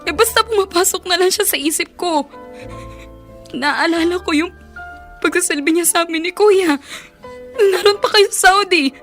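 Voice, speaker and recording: A woman's voice: very high-pitched, normal speed, long pauses, fairly bright tone, faint music behind. A woman playing a tearful, childlike youngest daughter who misses her mother, in a Filipino radio drama. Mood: wistful, pleading. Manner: plaintive, childlike.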